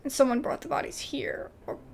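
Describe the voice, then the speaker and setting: High-pitched, animated woman with a creaky edge. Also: brisk, a little hiss or hum. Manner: informal, exclamatory asides with frequent "like". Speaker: video game streamer. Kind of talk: casual game commentary, reading dialogue aloud.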